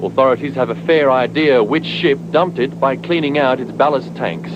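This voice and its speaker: A man reports a news story in a plain, conversational tone. The recording is muffled old broadcast tape, with a clear hiss running beneath him. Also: medium pitch, normal speed. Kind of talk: scripted news report voice-over.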